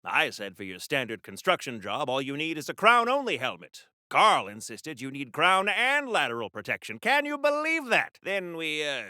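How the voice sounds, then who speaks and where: A man's voice: a little high, wildly swooping pitch, normal speed, few pauses, bright, forceful tone, no room sound, clean studio sound, exaggerated voice. A man playing a chatty, pompous wartime comic-book hero in a video game, with flowery diction in a theatrical 1940s radio serial style. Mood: rambling reminiscence.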